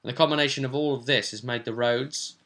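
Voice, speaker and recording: A man in the role of game master, talking through a fantasy tabletop session. His voice is bright and forward, with a creaky, gravelly edge at a middling pitch. He tells it in a casual, rambling style with plenty of description. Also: fairly even intonation, fast.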